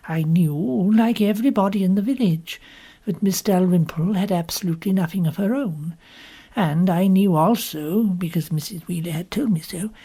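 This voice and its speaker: A man voicing a prim, gossipy spinster who narrates an audiobook story in fussy, measured asides. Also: high voice, vocal fry, mellow, slightly rough, full character voice. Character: prim, gossipy English village spinster telling her story. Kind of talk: first-person audiobook narration.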